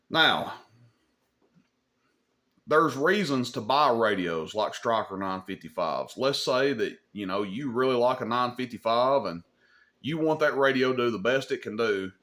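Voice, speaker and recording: A man's voice: mid-range voice, expressive, measured pace, pauses often, a bit bright. A man hosting an informal hobby tutorial, explaining things in an opinionated, plain-spoken way and often checking in with a short questioning tag. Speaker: host of a CB radio advice channel.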